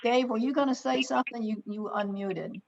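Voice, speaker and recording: A woman's voice: fairly high pitch, brisk, creaky, darkish tone, a little hiss or hum. Woman leading a group on a dull video call. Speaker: discussion leader. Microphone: computer mic on a video call.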